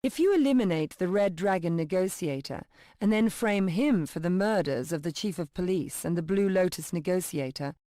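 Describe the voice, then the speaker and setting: Actress as game mission handler, woman, dark soft tone, dry close sound, formal, composed, businesslike. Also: on the low side, lively intonation, moderate pace, no echo, natural voice. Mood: cool, businesslike. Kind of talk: scripted mission briefing, video game.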